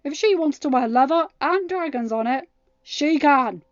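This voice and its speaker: A woman voicing an angry, protective young king with a forceful, growling, emphatic delivery in an audio story. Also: sits high, animated, moderate pace, no room sound, lo-fi sound, pitched above the performer's own voice. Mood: indignant. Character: angry protective teenage king, stern boyfriend.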